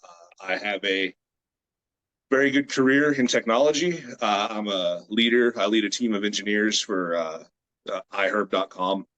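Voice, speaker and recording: A man in his late thirties, a grip strength athlete from the United States, chatting as a guest on a video call in a relaxed, rambling way with many fillers. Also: lowish voice, normal speed. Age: 30s. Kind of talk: casual interview chat.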